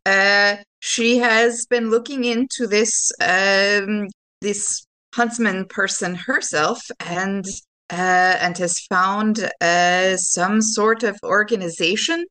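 A woman plays an earnest woman informant in a tabletop game. She talks slowly and haltingly, picking through her information with care. Her pitch sits in the middle range. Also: steady tone, bright, forceful tone. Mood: earnest, hesitant. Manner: hesitant, halting. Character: earnest, careful woman informant sharing research by phone. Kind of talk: improvised tabletop role-play dialogue.

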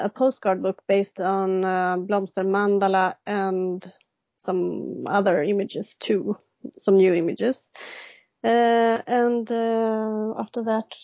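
A Swedish woman in her thirties speaks English with a clear Swedish accent as a podcast guest. She hesitates often, filling gaps with ums and restarting her sentences. The sound is dry and slightly dull, with an ordinary pitch. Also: dark tone, dry recording. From Sweden. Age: 30s. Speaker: guest illustrator. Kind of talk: casual interview answers.

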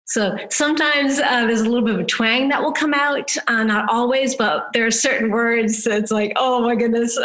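A woman in her fifties from New Hampshire, a photographer chatting as an interview guest, talkative and quick to laugh. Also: a little high. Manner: chatty, laughs often, lively quoted voices. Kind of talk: casual interview chat.

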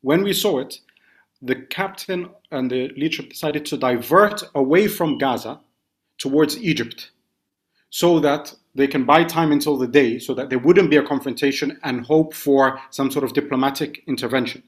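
A British man talks as a guest journalist on a podcast. He lays things out step by step in a measured way, with a mid-range voice. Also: mid-range voice. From United Kingdom. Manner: measured, detailed recounting. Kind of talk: podcast interview.

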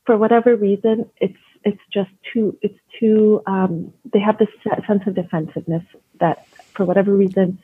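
A woman from Canada talks through her work as an educator in an earnest, thoughtful way, often restarting her sentences. Her voice is husky, and it comes over a thin, phone-like line. The sound is dry, with no room echo. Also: medium pitch, fairly even intonation, moderate pace. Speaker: educator and guest. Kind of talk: conversational interview.

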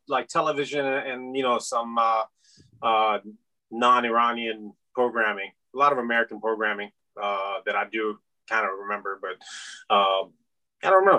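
A man's voice: mid-range voice, sharp tone, poor audio. A man in his fifties from Iran, a podcast guest rambling casually with many fillers. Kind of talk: casual interview chat.

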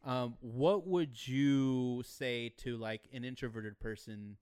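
A man's voice: mid-range voice, slow, a little mellow, clear, resonant tone, no echo, studio quality. A man hosting a casual car podcast, asking questions in a conversational, teasing way. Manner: conversational, teasing, frequent agreement. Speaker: podcast host.

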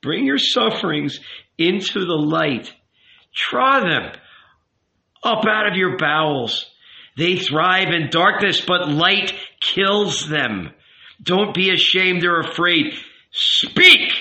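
A man's voice: high voice, lively intonation, slow, gravelly, pushed and bright, pitched above the performer's own voice, heavily acted. A man voicing an old rabbi in an audiobook, tender and earnest, coaxing and emphatic as he counsels. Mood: tender urging. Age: older. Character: old rabbi uncle, tender, pleading, gently commanding confessor. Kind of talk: dramatic audiobook character reading. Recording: roomy, echoing, slightly dull sound.

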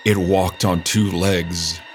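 A man voicing a terrified teenage boy in a campfire-style horror story, loud, sputtering and out of breath. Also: deep voice, fairly even intonation, takes it slowly, over music. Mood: breathless terror. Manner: loud, sputtering, broken syllables. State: out of breath, frightened. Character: terrified teenage cousin gasping out a monster attack.

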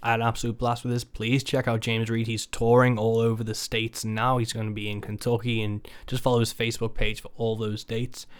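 A man's voice: medium pitch, smooth. A man with a Merseyside English accent hosting a podcast in an informal, matey, sweary way. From England. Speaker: podcast host, musician. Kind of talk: casual interview chat.

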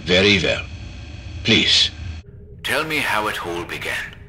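Male actor as eccentric doctor, low-pitched, patient, formal questioning, horror audio drama, faint background noise. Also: very animated, slow pace, bright, forceful tone, heavily acted. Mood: measured, clinical.

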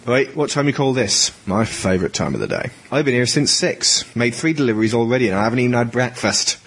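Young male office show-off, done by a male narrator, pompous and eager, chirpy audio-drama delivery with lifted pitch. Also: very animated, quick pace, fairly bright tone, slightly rough, heavily acted. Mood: chirpy, smug.

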